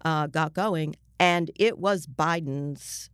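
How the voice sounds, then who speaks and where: An older American woman, a journalist being interviewed, explaining things step by step with asides in a measured way. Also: low voice, fairly bright tone, clear, resonant tone, dry recording, clean studio sound.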